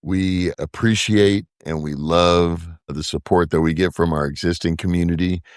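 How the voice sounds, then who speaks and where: Deep-voiced man, reflective podcast guest. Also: steady tone, moderate pace, clean tone, dry recording, studio quality. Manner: reflective and earnest, with bursts of profanity. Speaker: podcast guest, brewery co-founder. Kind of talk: casual conversation.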